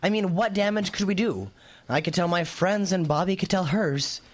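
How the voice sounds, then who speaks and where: Teenage boy, lightly high pitch, very animated, earnest, questioning, polite yet challenging, audio drama. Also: normal speed. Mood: defiant.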